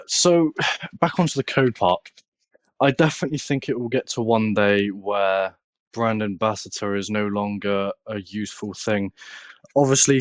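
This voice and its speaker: A man with a British accent speaks in a fairly low voice, recorded dry and close. He chats informally as an interview guest, repeating words to drive things home. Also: no room sound. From United Kingdom. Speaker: podcast guest, content creator. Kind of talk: casual interview chat.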